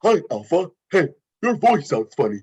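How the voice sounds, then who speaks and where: Man as eager, husky, naive talking dog. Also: a little high, big pitch swings, moderate pace, a little mellow. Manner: childlike, simple earnest wording. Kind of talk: animated film script table read. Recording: muffled lo-fi microphone.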